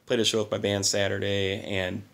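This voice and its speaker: A man talks through his finds in a casual vlog, his voice hoarse and gravelly. He sits a little low in pitch and speaks with eager enthusiasm, yet he keeps it fairly quiet. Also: completely flat delivery, moderate pace. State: hoarse from singing and little sleep. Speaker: channel host.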